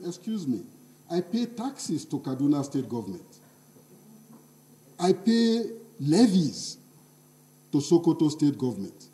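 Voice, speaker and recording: A man from northern Nigeria with a Nigerian accent, giving a measured, emphatic public lecture. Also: medium pitch, warm tone, recorded from a distance, low-quality recording. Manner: measured, emphatic, quotes others in voices. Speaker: guest lecturer.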